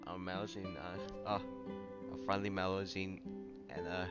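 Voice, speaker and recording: A man giving casual gameplay commentary as a streamer, halting and repeating his phrases. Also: low-pitched, flat delivery, dull audio. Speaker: video game streamer. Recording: loud game music behind.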